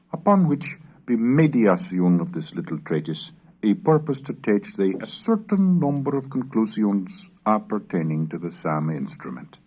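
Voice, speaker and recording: A man reading scripted scholarly material aloud in a deliberate, declamatory manner, like a lecturer on a recorded course. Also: low voice, a little mellow, slightly rough. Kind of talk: scripted narration and dramatic reading of Middle English texts. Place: recorded narration on cassette. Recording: dull, lo-fi 1970s cassette recording.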